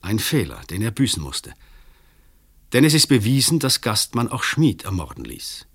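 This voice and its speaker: Radio drama magistrate: low voice, world-weary, melancholy, wordy and formal. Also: male voice, sing-song, moderate pace, a bit bright, slightly rough, heavily acted. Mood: sober, resigned. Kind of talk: German radio play acting.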